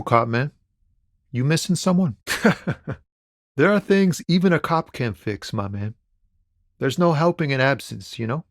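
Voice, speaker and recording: An actor playing a laid-back, philosophical truck driver and aspiring poet in a video game, talking in a musing, slangy way. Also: male voice, medium pitch, no echo, clean studio sound, close to an everyday voice. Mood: easygoing, musing. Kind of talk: conversational video game character dialogue.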